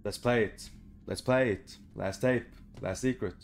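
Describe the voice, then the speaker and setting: A man's voice: on the low side, unhurried, creaky, soft background music. A young man giving casual, sweary gameplay commentary in an informal way. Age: young adult. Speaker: gaming video creator. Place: small bedroom, indoors.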